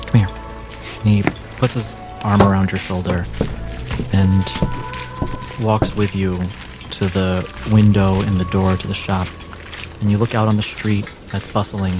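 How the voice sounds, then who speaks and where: A man narrating a tabletop roleplaying game as game master, in a measured, deliberate way. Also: deep voice, level tone. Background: music.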